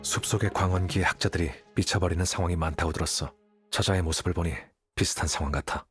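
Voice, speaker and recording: A man's voice: sits low, fairly even intonation, fast, natural voice. A male actor playing a cool, aloof young scholar in a game, composed, dry and matter-of-fact. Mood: detached, analytical. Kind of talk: anime-style game voice acting. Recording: faint music behind the voice.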